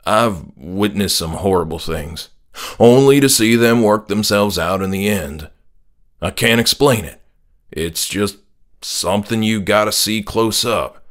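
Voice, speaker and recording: A man plays a grieving, devout middle-aged father in a horror audiobook. His voice is low and subdued. He speaks slowly and haltingly, with earnest conviction. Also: a bit bright. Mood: pleading, earnest. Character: grieving middle-aged father, soft-spoken, tearful, steadfast in faith.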